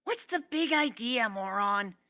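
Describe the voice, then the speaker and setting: A man's voice: extremely high pitch, expressive, measured pace, sharp tone, no echo, pitched above the performer's own voice, exaggerated voice. A man voicing an easily annoyed female griffin with a brusque, snappish manner in a story reading.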